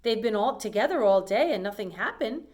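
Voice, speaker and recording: A woman's voice: fairly high pitch, animated, fairly quick, a little mellow. A cheerful storybook housemaid, played by a woman reading aloud, earnest and blithely sure of herself. She speaks in a chirpy, matter-of-fact way, as one who takes every word literally. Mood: cheerful, unbothered.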